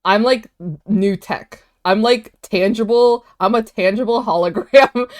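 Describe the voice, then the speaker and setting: A woman, Vietnamese, talks loosely to her stream chat. Her delivery is animated and chatty, peppered with "like" and quick laughs. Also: fairly high pitch. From United States. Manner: animated, chatty, laughs often, many "like" fillers. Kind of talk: casual livestream chat, reading chat aloud.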